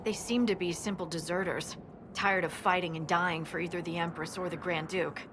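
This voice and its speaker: A woman's voice: lowish voice, steady tone, normal speed, noisy background, close to an everyday voice. A woman playing a serious army scout in a video game, giving a formal, sober briefing to her superior. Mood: warning. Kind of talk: dramatic video game dialogue.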